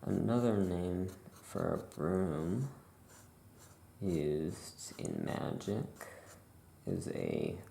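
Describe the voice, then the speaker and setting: Very creaky, low, soft-spoken ASMR voice. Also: very animated, drawn-out words, pauses often, a little hiss or hum. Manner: soft-spoken, musing to self. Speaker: ASMR creator. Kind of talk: soft-spoken ASMR roleplay as a shopkeeper while crafting.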